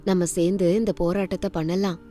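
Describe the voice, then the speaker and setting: A woman voicing a loyal, earnest female assistant who speaks in a reassuring, hushed manner in a story. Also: on the low side, little pitch movement, moderate pace, faint music behind, voice pushed down, close to an everyday voice. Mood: earnest reassurance.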